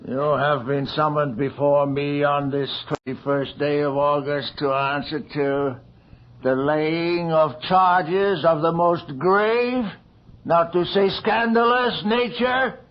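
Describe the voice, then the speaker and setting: An actor playing a haughty, domineering magistrate of the king in a radio horror drama, speaking in formal, pompous phrases. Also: fairly high pitch. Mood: pompous proclaiming. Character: stern, haughty magistrate of the king, contemptuous of peasants. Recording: dull, noisy old radio recording.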